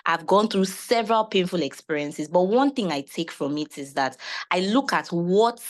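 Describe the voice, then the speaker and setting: A woman's voice: on the low side, animated, normal speed. A woman giving personal testimony and advice as a panelist, speaking in a reflective, earnest way. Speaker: chef and panelist.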